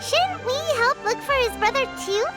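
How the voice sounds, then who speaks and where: Brief: tiny fairy sidekick, very high and squeaky, bouncy delivery, game score behind. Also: female voice, normal speed, over loud music. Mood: chirpy. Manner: excitable, chattering. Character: tiny floating fairy companion, chirpy girlish sidekick.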